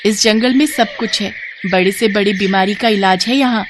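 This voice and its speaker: A woman's voice: medium pitch, normal speed, background noise, close to an everyday voice. A woman voicing a kind, consoling female bird in a children's story, with a reassuring manner.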